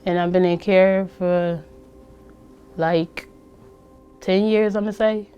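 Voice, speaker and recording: A woman's voice: fairly low pitch, steady tone, takes it slowly, dark tone, dull audio, soft background music. A woman in her early twenties speaking casually in a documentary interview about leaving foster care, with many fillers. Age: early 20s. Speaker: former foster youth interviewee.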